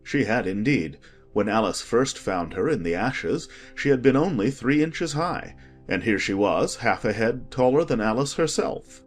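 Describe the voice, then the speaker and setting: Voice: medium pitch, lively intonation, a little slow, soft background music. An audiobook narrator reading a scripted children's story.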